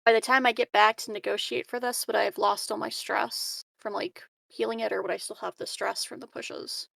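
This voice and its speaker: A woman's voice: on the high side, steady tone, brisk, creaky, fairly bright tone, no echo. A woman chatting casually as a player in a tabletop role-playing game.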